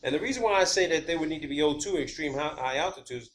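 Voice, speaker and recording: A man hosting a video, giving a rambling, unscripted explanation of his own theories in a halting, emphatic, blunt and casual way, repeating key words. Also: a little high, lively intonation. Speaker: video channel host. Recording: clear background ambient noise.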